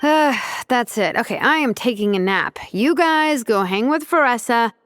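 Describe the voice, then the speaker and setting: Laid-back, highish-voiced young woman. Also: animated, unhurried, a bit bright, clear, resonant tone, no echo. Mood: relaxed, sleepy. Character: laid-back, nap-loving young woman, confident and breezy. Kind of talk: English anime-style video game dub.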